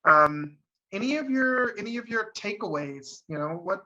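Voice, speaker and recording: A man from Chicago facilitating an online panel discussion, halting, with many fillers and restarts, heard over a video call. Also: sits high, expressive, sharp tone. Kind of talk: hesitant online panel hosting. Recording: dull lo-fi video call audio.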